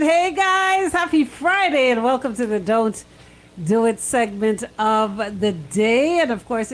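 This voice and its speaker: A woman presenting a radio segment with playful banter. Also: high voice. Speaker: radio show host.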